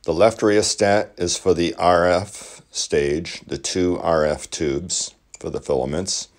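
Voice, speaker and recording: Low-pitched, slow-talking man. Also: steady tone. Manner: measured, matter-of-fact, short phrases with pauses. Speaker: collector demonstrating vintage radios. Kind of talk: instructional narration.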